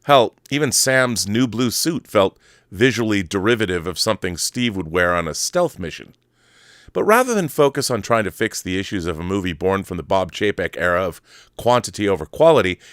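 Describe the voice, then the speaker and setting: A man's voice: lowish voice, a bit bright, dry recording, clean studio sound. A man hosting a solo podcast, talking casually and reading aloud with a theatrical, wordy showman's manner. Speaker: podcast host.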